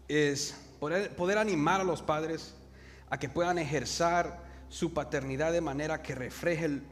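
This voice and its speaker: A young man from El Salvador with a Salvadoran Spanish accent, preaching a sermon and calling the congregation to repeat words after him. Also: on the high side. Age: young adult. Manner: calls the congregation to repeat words, slows for emphasis. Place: church hall. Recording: reverberant hall with faint noise.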